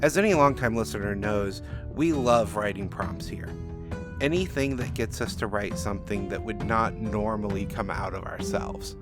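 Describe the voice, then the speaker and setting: A man's voice: fairly low pitch, normal speed, music in the background. A man giving scripted narration as author and host of a story podcast.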